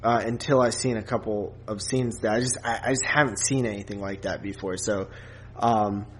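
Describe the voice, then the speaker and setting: A man co-hosting a podcast in casual film review chat, thinking aloud in hesitant, halting phrases. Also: lowish voice, steady tone, fairly bright tone, a little hiss or hum, low-quality recording. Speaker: podcast co-host.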